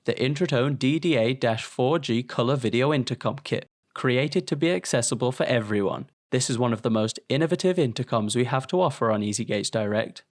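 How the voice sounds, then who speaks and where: A man's voice: medium pitch, brisk, few pauses, no echo. Dry, scripted male promotional narrator. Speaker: product video narrator.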